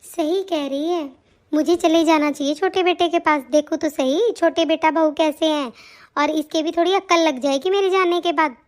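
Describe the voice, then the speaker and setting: A woman's voice: very high-pitched, fairly even intonation, moderate pace. A woman voicing a fretful, affectionate older mother-in-law in a family cartoon, with a plaintive, homely way of talking. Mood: resigned. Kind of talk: Hindi family cartoon story dialogue.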